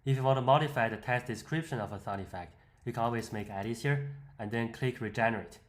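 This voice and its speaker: A man giving an explanatory, step-by-step voice-over for a software demonstration. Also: mid-range voice, fast. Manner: step-by-step, instructional. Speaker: software tutorial presenter. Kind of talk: explanatory screen-demo voice-over.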